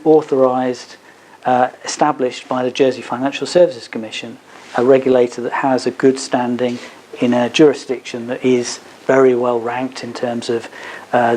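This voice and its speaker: A man's voice: mid-range voice, a little mellow, poor audio. A man speaking as a lawyer at a seminar, answering questions in a hesitant, explanatory way. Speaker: advocate giving a legal seminar. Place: seminar at a business hub. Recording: faint background noise.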